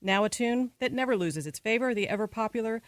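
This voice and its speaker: An actor playing a formal, urgent radio news announcer in a radio drama, with crisp phrasing. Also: medium pitch, lively intonation, brisk, no room sound, lightly acted. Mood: formal, serious. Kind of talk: radio news bulletin acting.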